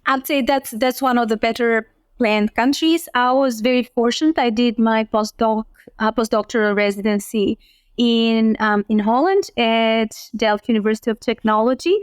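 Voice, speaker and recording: A woman from Albania, an urban planning professor, answering interview questions in a measured, explanatory way with frequent ums. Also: fairly high pitch, clear, resonant tone, no room sound, clean studio sound.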